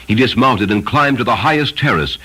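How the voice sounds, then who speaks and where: A man's voice: medium pitch, animated, fairly quick, low-quality recording. A man narrating a literary story from a script in a solemn, formal storytelling manner.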